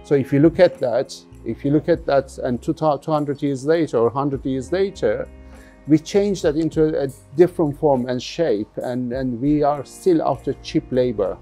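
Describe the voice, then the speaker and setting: A middle-aged man from London giving thoughtful interview answers as a business founder, haltingly and often repeating words. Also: medium pitch, warm tone, faint music behind. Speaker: jeans factory founder being interviewed.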